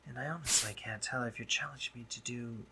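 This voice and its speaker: A man playing a doting, teasing gentlemanly spider-monster painter in a romantic roleplay audio drama, with genteel phrasing, hesitant pauses and drops into whispers. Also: mid-range voice, gravelly, background noise, natural voice. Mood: teasing, affectionate.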